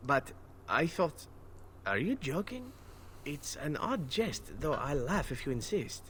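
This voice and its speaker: An actor voicing a wry, courtly male elf assassin in a video game, speaking in measured, formal phrases. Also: fairly high pitch, very animated, fairly bright tone, a little hiss or hum, exaggerated voice. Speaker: game character.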